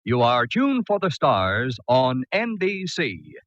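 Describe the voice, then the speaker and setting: A man's voice: medium pitch, wildly swooping pitch, takes it slowly, fairly bright tone. A man with an American accent reading scripted announcements in a formal, polished 1940s radio style. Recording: dull vintage radio recording with music.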